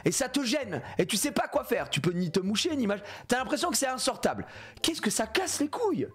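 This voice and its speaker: A man's voice: very high voice, brisk, slightly rough, faint music behind. A man in his thirties with a cold, streaming a game live and joking loosely with chat, often swearing. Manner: animated, jokey, swears a lot, reads chat aloud. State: congested with a cold. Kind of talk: live gameplay stream chatting with viewers.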